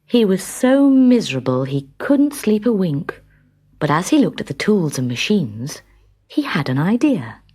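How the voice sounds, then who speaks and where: Low, animated woman storyteller. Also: measured pace, husky. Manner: dramatic, expressive storytelling. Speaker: storybook narrator. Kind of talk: scripted children's story narration. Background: music.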